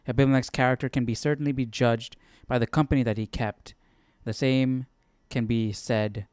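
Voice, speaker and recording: A man's voice: medium pitch, a little mellow, smooth, no room sound, clean studio sound. A man hosting a casual live Bible study, reading aloud and thinking through it with questions to himself.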